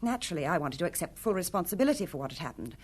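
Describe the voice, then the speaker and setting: Low, expressive, imperious former film star. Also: female voice, rapid-fire, slightly rough. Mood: confessional, troubled. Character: retired female film star, imperious, defensive, troubled. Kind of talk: radio drama acting.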